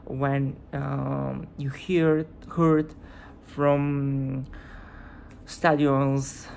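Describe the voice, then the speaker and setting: A low-pitched woman speaking English with a Bulgarian accent, not her first language. She talks to her viewers in a slow, unhurried vlog style and stops often to hunt for the right word. A faint hiss sits under her voice. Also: flat delivery, vocal fry, darkish tone. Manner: hesitant, searching for words. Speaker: YouTuber. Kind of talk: casual vlog commentary.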